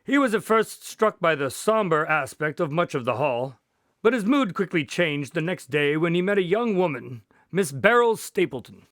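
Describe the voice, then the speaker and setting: A man playing a courteous doctor and investigator in a radio drama, with polite, formal, measured phrasing. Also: a little high, expressive, a bit bright, no echo, clean studio sound. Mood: composed storytelling. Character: courteous male doctor and investigator recounting a case.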